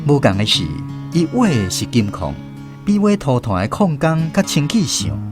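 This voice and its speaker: A man's voice: a little high, big pitch swings, moderate pace, over music. A man with a Taiwanese accent narrating a scripted radio drama. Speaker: narrator and host.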